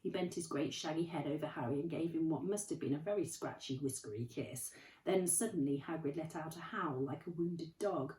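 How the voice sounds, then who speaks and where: A woman, a primary school teacher, reading a storybook aloud in an expressive manner. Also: low-pitched, brisk, recorded from a distance. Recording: roomy, from off the mic.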